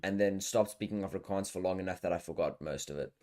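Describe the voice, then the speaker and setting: The low-pitched guy running a laid-back podcast among friends late in the evening. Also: fast talker, darkish tone. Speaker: podcast host. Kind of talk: casual chat.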